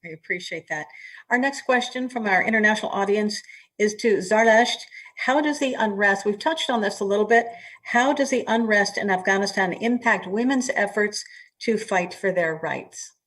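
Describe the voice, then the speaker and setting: A woman moderating an online panel, smoothly reading out audience questions. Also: medium pitch, moderate pace, a bit bright. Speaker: emcee and moderator. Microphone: video call. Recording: distant webcam audio.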